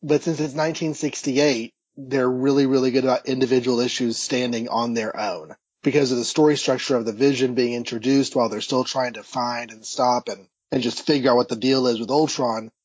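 A man's voice: mid-range voice, fairly even intonation, brisk, hardly any pauses, husky. A man co-hosting a podcast, casually recapping and discussing with enthusiastic, rambling asides. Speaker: podcast co-host. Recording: dull, lo-fi audio, slightly roomy.

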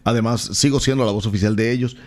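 A man with a Colombian Caribbean accent, a radio announcer co-hosting a podcast, telling stories in a playful, drawn-out way. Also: mid-range voice, fairly even intonation, darkish tone. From Colombia, Caribbean coast. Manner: playful storyteller, drawn-out phrasing. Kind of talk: casual podcast chat.

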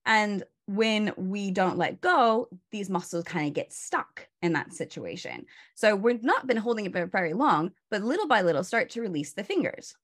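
A woman's voice: medium pitch, lively intonation, moderate pace, few pauses. A woman burnout coach, a guest on a video call, explaining stress in a casual, instructive way with many "you know"s and "right?"s.

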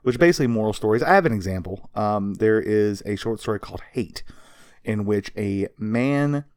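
A man's voice: lowish voice, animated, moderate pace, clean tone, dry recording, clean studio sound. A man co-hosting a podcast, explaining history in a casual, conversational way with informal quoted asides. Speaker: podcast co-host.

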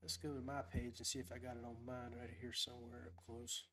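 A man's voice: mid-range voice, flat delivery, brisk, a bit bright. A man hosting a casual fishing livestream, talking in a rambling, folksy, upbeat way. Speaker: podcast host.

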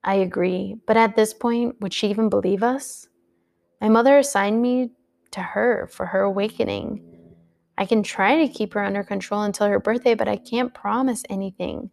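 A woman's voice: medium pitch, steady tone, moderate pace, creaky, a little mellow, lightly acted. A woman reading aloud who voices a protective young woman guardian, speaking urgently and then soothingly.

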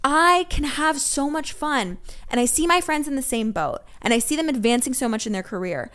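A young woman in her twenties chatting on a podcast, lively and blunt, with a fairly high voice. She swears freely and fills her talk with "like". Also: normal speed, smooth. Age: 20s. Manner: animated, swears freely, heavy use of "like". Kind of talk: casual podcast chat.

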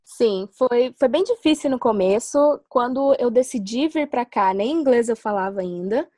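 A woman's voice: fairly high pitch, lively intonation, moderate pace, a little mellow, no echo. A woman in her twenties with a Brazilian accent from Minas Gerais, chatting shyly and hesitantly as a podcast guest. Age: 20s. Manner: shy, hesitant. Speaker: podcast guest, design student. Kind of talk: casual chat.

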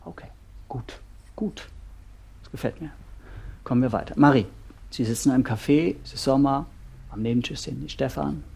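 Male couples therapist for naturalistic radio play: deliberate, pausing, frank, with a creaky, rough-edged voice. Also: medium pitch, warm tone. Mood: probing. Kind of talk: German audio drama, naturalistic dialogue.

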